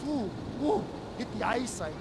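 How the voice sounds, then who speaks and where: A man's voice: very high voice, animated, moderate pace, noisy background. A man playing a spaced-out middle-aged druggie sidekick in a video game, rambling and stammering candidly. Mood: dazed, wistful. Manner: meandering, stammering, oddly candid. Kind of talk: video game character acting.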